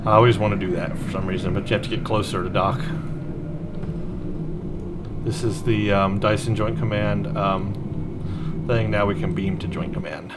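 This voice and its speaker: A man with a fairly low voice hosts a gameplay video. He talks casually, thinking aloud and tossing in chatty asides. There is some noise in the recording. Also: flat delivery, moderate pace, pauses often. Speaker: gaming video host.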